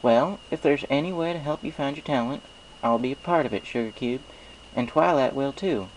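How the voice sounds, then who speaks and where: A man's voice: mid-range voice, moderate pace, a little hiss or hum, pitched above the performer's own voice, lightly acted, poor audio. A man voicing a friendly, honest young female country pony with a folksy drawl, in a storybook reading. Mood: earnest, reassuring. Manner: folksy drawl with dropped g's.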